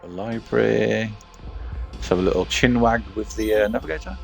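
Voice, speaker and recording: A man's voice: fairly low pitch, moderate pace, creaky, soft background music. A man giving casual playthrough commentary as a gaming streamer, muttering to himself as he thinks aloud. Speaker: gaming streamer reading all game text aloud.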